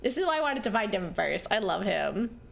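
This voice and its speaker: A woman's voice: mid-range voice, big pitch swings, moderate pace, creaky, faint music behind. A young woman from rural New York, chatting casually and rambling with lots of fillers while streaming a game. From rural New York, USA. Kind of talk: casual chat while gaming. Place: home, living in Japan.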